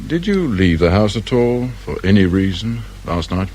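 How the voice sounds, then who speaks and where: An actor with a British accent playing a shrewd, dry, irritable detective inspector in a radio crime drama. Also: male voice, fairly low pitch, sing-song, moderate pace, a little mellow. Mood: probing, measured. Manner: probing, brusque, sardonic.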